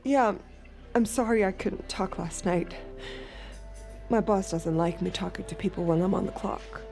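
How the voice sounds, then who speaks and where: Actress as cool, watchful woman with mellow voice. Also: mid-range voice, normal speed, vocal fry, soft background music, close to an everyday voice. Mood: matter-of-fact. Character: cool, watchful, manipulative woman escort narrating her thoughts. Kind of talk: intimate scripted audio drama narration and dialogue.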